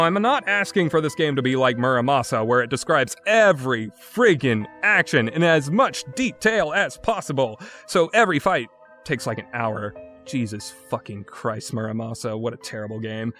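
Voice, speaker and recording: A man's voice: mid-range voice, fairly bright tone. A man giving an opinionated video game review in an exasperated, sarcastic and emphatic way, with casual phrasing.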